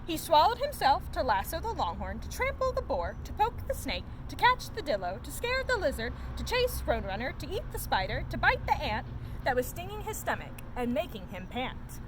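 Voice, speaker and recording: A woman reading a children's picture book aloud as a story time host, in an expressive, sing-song storytelling manner. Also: sits high, moderate pace, noisy background.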